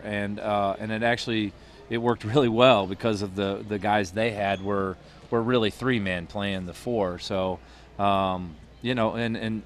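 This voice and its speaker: A man's voice: on the low side, slight background noise. A middle-aged man, a head basketball coach, giving a postgame interview in a thoughtful, rambling way full of restarts and fillers, on a headset mic. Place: small studio booth with a logo backdrop.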